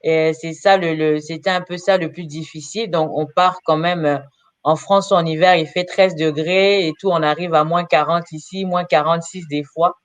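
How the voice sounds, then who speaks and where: A woman in her thirties from Congo, answering interview questions about her life as a career counselor, often pausing with filler sounds. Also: deep voice, fairly even intonation, dry recording. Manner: hesitant, frequent filler pauses.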